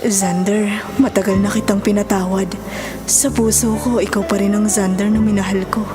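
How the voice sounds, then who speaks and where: A Filipino woman playing a gentle, forgiving middle-aged mother and wife in a melodrama, speaking earnestly and tenderly. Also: medium pitch, level tone, moderate pace, lightly acted. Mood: forgiving, consoling. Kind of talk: melodramatic drama acting. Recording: dramatic music bed.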